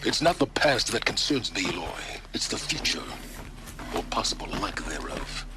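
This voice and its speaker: A man's voice: on the low side, big pitch swings, normal speed. An actor playing a stern, condescending man in a video game, haughty and sardonic as he scolds and gives orders. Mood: cold, sardonic. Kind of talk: dramatic game dialogue. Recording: clear background noise.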